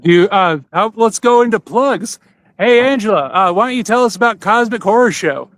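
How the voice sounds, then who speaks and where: A man's voice: high-pitched, expressive, moderate pace, fairly bright tone, no echo. A man hosting a podcast in a casual, chatty way, steering guests through their plugs. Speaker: podcast host. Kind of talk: casual chat.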